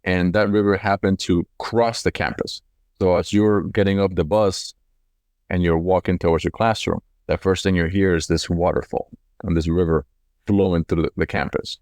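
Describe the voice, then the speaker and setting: A man's voice: sits low, fairly even intonation, normal speed, darkish tone, no echo, studio quality. A man in his forties from Colombia, telling his life story casually in an interview, reflective and conversational, repeating words as he goes. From Cali, Colombia. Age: 40s. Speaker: company CEO being interviewed.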